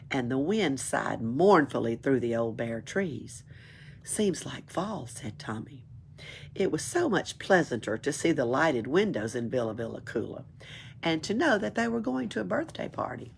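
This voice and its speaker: A low, gravelly woman's voice reads a children's book over noticeable background noise. The storytelling is expressive, suited to young listeners. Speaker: library storyteller. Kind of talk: reading a children's book aloud.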